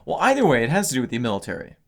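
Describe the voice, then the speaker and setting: A man co-hosting a casual podcast, talking excitedly about song lyrics with many restarts; English is not his first language. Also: medium pitch, very fast. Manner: animated, exclamatory, lots of restarts. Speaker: podcast co-host.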